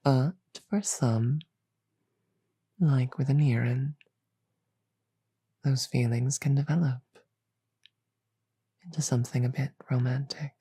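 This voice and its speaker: A regal demon queen played by a woman in an audio drama speaks very slowly and deliberately, with teasing, magnanimous command. Her voice is dark and husky, with an amused drawl, recorded close and dry. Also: a bass voice, no room sound, exaggerated voice. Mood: warm, magnanimous. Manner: slow, deliberate, amused drawl with soft chuckles.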